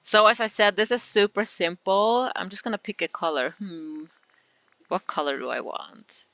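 A woman's voice: medium pitch, moderate pace, a bit bright, no echo, highs cut off. A woman with a Swedish accent chatting casually through a game tutorial, giggling often and leaning on fillers. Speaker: gaming tutorial creator.

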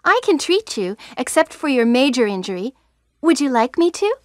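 A woman's voice: sits high, very animated, normal speed, dry recording, studio quality. A woman playing an eager, girlish young rookie medic in a horror video game, with perky, stiff phrasing. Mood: helpful, concerned. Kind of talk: stilted 1990s video game voice acting.

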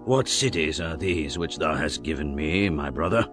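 A man's voice: very deep voice, lively intonation, normal speed. An actor playing a displeased ancient king in a dramatized scripture reading, with formal archaic speech. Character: displeased ancient king addressing a fellow ruler. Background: music bed.

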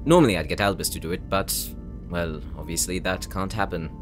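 A man's voice: low voice, brisk, lightly acted. A man acting in an audio roleplay as a young engineer, a weary brother with a dry, clipped, formal way of talking. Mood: exasperated. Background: music.

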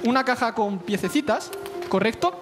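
A man's voice: very high voice, expressive, normal speed. A young man in his twenties from Valencia with a Spanish accent, confidently talking judges through a mentalism act on a big TV studio stage. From Spain. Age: 20s. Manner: confident, smooth, addresses judges directly. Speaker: talent show contestant. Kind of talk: stage patter while performing mentalism. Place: large television studio stage. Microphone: headset mic. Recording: broadcast audio with clear music behind.

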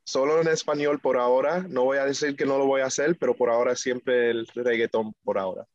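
A man's voice: medium pitch, a little fast, dry recording, dull audio. A young man raised in the United States by a Dominican family, answering interview questions casually on a video call, with frequent fillers and easy laughs. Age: young adult. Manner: relaxed, laughs easily, many fillers and restarts. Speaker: guest musician. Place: small indoor room, video call.

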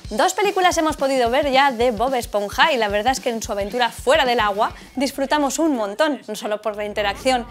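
A woman in her forties with a Castilian Spanish accent, presenting a scripted film video in a chatty, expressive way. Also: on the high side, a little fast, few pauses. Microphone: lapel mic. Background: music.

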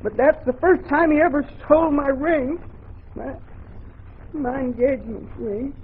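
Blunt older frontier wife, old radio. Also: female voice, high-pitched, unhurried. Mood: hurt, bitter. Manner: scolding, folksy, blunt. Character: stern, plain-spoken, hardworking older frontier wife. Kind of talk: 1940s radio drama acting. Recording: muffled, noisy 1940s radio recording.

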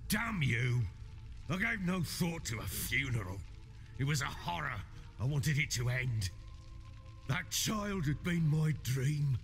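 An actor playing an older, burly drunken baron in a video game, anguished and halting, with coarse archaic speech, racked by guilt. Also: male voice, a little high, big pitch swings, a bit bright, soft background music, full character voice. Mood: grief-stricken. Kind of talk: dramatic video game acting.

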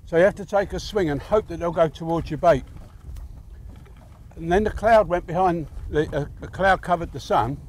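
A man's voice: a little high, normal speed, low-quality recording. An older man with an English accent hosting a fishing show, chatting casually to camera from a boat out at sea. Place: outdoors on a small boat at sea.

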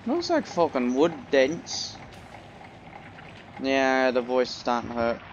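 A man doing let's play commentary in a put-on British accent, jokey, profane and loud. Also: mid-range voice, big pitch swings, slow pace, muffled recording, noisy background. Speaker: gamer.

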